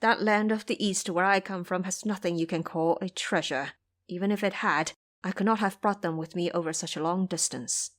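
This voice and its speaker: A woman's voice: fairly low pitch, normal speed, natural voice. A woman playing an earnest male travelling monk, speaking formally and deferentially in an audio drama. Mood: modest. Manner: formal, deferential, gently scolding.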